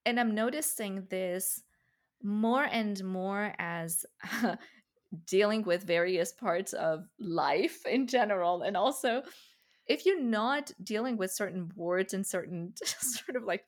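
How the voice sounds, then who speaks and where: A woman's voice: medium pitch, takes it slowly. A woman whose first language is Farsi, hosting a casual conversation and talking thoughtfully with light laughs. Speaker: podcast host.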